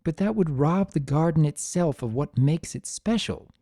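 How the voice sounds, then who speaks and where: A performer voicing an older mare pony, a patient mother who explains things calmly and sensibly in a storybook reading. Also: medium pitch, moderate pace, no echo, studio quality, pitched above the performer's own voice. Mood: explaining. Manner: calm, sensible, gently teaching.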